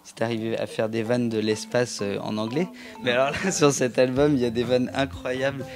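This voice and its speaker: A man in a mid-range voice hosts the interview, effusive and chatty. His questions ramble on at length, and music plays clearly behind him. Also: mid-range voice, little pitch movement, normal speed. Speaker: interviewer and host. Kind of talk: enthusiastic casual interview.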